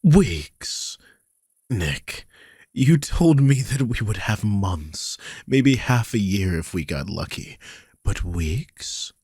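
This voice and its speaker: A man's voice: fairly low pitch, very animated, slow pace, a little mellow, no room sound, clean studio sound, full character voice. A man playing a worried, guilty vampire king who is protective of his charge, confiding wearily in an audio roleplay. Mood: alarmed. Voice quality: husky, breathy. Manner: confiding, weary, sighing, swears. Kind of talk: dramatic audio roleplay, one side of a conversation.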